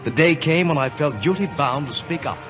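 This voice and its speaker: A male actor plays a dutiful, worried naval first officer with very lively intonation. He is earnest and respectful, in radio drama style. The old recording is muffled, with music behind. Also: a little high, brisk, natural voice. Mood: earnest narrating. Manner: earnest, deferential. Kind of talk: 1950s radio drama acting and narration.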